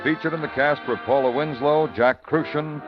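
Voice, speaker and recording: A man announcing scripted credits and promos in formal, measured 1950s radio style, on an old broadcast recording. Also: a little high, fairly even intonation, highs cut off. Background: music.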